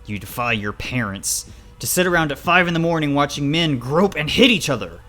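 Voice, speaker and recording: A man's voice: fairly low pitch, moderate pace, fairly bright tone, faint music behind, close to an everyday voice. A man voicing a stern, pious animal father in a dramatic story, scolding and accusing with disgust.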